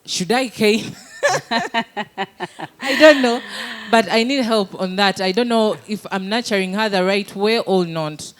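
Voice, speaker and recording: Woman, medium pitch, audience member with a personal question; casual, hesitant, searching in short phrases. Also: slow.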